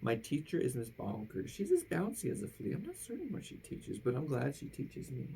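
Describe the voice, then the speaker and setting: A woman reading a children's rhyming picture book aloud with theatrical, drawn-out emphasis at the ends of phrases. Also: rumbling low, wildly swooping pitch, gravelly, warm tone, a little hiss or hum. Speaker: storybook reader.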